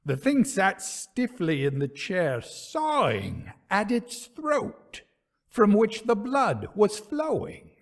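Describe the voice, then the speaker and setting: Slow, dramatic male ghost storyteller. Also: sits high, wildly swooping pitch. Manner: theatrical, suspenseful, measured delivery.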